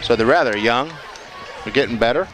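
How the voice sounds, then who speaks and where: A man doing relaxed live play-by-play of a high school football game, joking and filling pauses with lots of "uh"s and restarts. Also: low-quality recording. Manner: easygoing, joking, many fillers and restarts. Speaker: play-by-play commentator. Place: outdoor football stadium. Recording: loud crowd and stadium noise. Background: crowd noise.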